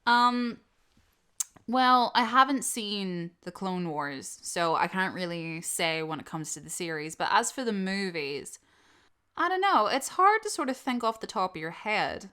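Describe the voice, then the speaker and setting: A woman host answering questions in a casual, opinionated and animated chat, swearing freely. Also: mid-range voice, a little slow, clear, resonant tone. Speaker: host of an audio channel.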